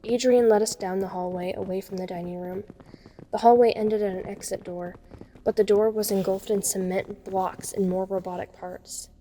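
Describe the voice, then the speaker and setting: A woman's voice: medium pitch, fairly even intonation, normal speed, warm tone, a little hiss or hum, close to an everyday voice, poor audio. A woman playing a frightened young woman, narrating a scripted first-person horror story. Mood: grim. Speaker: voice actor narrating a fan audio drama.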